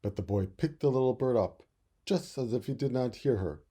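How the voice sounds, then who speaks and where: A man reading a children's story expressively, with deliberate, dramatic phrasing. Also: mid-range voice, warm tone, breathy edge. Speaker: storybook reader.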